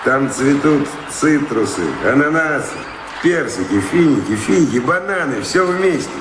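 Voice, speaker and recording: A man's voice: fairly high pitch, animated, measured pace, reverberant, noisy background. An actor in a radio drama playing a roguish seafaring vagabond, a sly, teasing storyteller who savours his words. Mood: enticing, dreamy. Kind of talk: theatrical radio drama acting.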